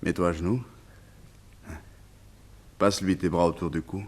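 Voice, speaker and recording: A deep-voiced, haunted, earnest man. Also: steady tone, moderate pace, darkish tone. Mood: tender, solemn. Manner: earnest, confiding, brooding. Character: anxious, haunted man, devoted to his pet tiger. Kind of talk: French radio play acting.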